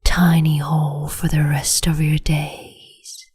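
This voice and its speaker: A woman voicing a cruel, capricious empress in a whispered roleplay, slow, mocking and condescending, close to the mic. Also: low-pitched, level tone, darkish tone, heavily acted. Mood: silky menace. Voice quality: whispery, breathy.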